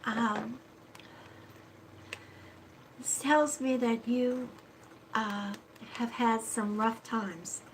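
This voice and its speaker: A woman with a stuffy nose reads cards for viewers on a live stream. She talks slowly and gently, pausing often to reassure, with a slightly raised pitch. A faint hiss sits behind her voice. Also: fairly even intonation, rough recording. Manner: slow, soothing, reassuring, with frequent "okay?" and "all right?". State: stuffy-nosed. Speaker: psychic card reader. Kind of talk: live-stream card reading.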